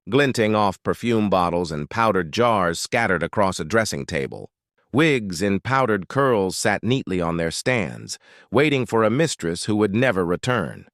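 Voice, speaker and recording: Expressive British man narrating, fairly low voice. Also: no room sound, studio quality. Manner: gentle, soothing, measured storytelling. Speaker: bedtime story narrator. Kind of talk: scripted fairytale narration for sleep.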